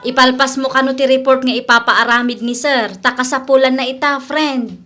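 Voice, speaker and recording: Woman acting a high-voiced, blunt Ilocano best friend. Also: fairly even intonation, moderate pace, pushed and bright. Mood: concerned, prodding. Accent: Filipino, Ilocano. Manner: nagging, outspoken. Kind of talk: radio-style drama acting.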